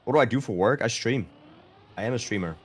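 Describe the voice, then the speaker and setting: A man's voice: mid-range voice, fast talker, slightly rough. A man from Denmark, a streamer, chatting casually with his viewers, answering their questions aloud and poking fun at himself.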